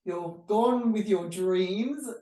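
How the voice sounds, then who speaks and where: A woman's voice: mid-range voice, extremely slow, mellow. A woman hosting a conversational interview in an effusive, complimentary manner. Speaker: host of mental health chat show. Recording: roomy echo, distant from mic.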